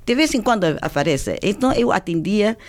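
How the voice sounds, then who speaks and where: An older Chinese woman recounts her life in a relaxed interview, speaking Portuguese with a Chinese accent tinged with Brazilian sounds. She often restarts and checks in with little tag questions, and her voice sits at a middling pitch. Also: a little hiss or hum. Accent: Chinese, with Brazilian Portuguese traces. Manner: halting, with frequent restarts, "né?" tags and laughter. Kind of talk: conversational interview.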